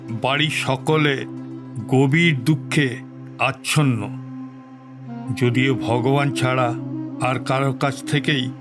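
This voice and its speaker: A man playing a gentle, sorrowful older father reading his letter in an audio drama, measured and solemn with pauses between phrases. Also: mid-range voice, close to an everyday voice. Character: sorrowful, gentle elderly father writing to son. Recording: studio voice under loud music.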